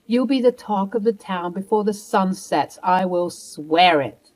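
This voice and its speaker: A woman voicing a bossy, snobbish older grandmother who sneers and then begs, a little higher and louder than her own narration. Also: expressive, a little slow. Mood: dismissive. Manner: dismissive and sneering, later pleading.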